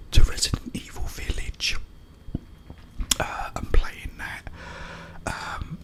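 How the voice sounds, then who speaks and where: A British man whispering an informal, rambling ASMR monologue, playfully repeating words as he goes. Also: medium pitch. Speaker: ASMR content creator.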